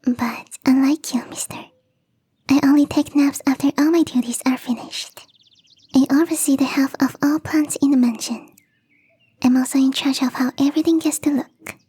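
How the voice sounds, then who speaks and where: A woman voicing a young catgirl gardener in an ASMR roleplay, teasing, coy and playfully smug, with a breathy, whispery voice. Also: sits high, fairly even intonation, normal speed, dark tone, no room sound, natural voice. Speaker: voice actress.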